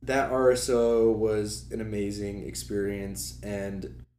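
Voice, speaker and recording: Male, early twenties, chatty guest. Low-ish, level delivery, roomy sound with slight noise. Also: steady tone, takes it slowly, a little mellow. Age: early 20s. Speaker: guest, former student club officer. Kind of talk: casual conversation. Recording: echoey room with faint background noise.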